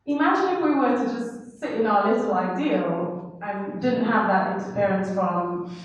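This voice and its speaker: A woman's voice: mid-range voice, normal speed, dull audio. Trinidadian woman, panel speaker, reflective and chatty, very echoey hall, distant mic. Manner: reflective, conversational with laughs and fillers. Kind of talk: panel discussion. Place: university hall.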